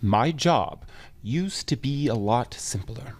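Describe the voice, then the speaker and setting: Grim reaper, male actor: put-upon, wry, conversational complainer with swooping, expressive delivery for comic audio drama. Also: mid-range voice, takes it slowly. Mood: weary, frustrated. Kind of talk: comedic audio drama dialogue.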